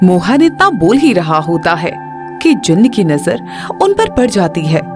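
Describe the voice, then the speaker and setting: A woman narrating a cartoon story in an unhurried, expressive storybook manner over background music. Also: on the low side.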